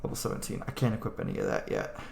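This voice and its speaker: A man giving casual let's play commentary, rambling and muttering to himself as he goes. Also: very fast. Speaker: gaming streamer. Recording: roomy echo, faint background noise.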